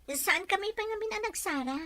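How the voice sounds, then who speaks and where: A woman's voice: extremely high pitch, expressive, a little fast, bright, forceful tone, no room sound, heavily acted. A woman playing an affectionate, easygoing young woman in love, with a Filipino Ilocano accent. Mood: reassuring. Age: young adult. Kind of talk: radio drama dialogue.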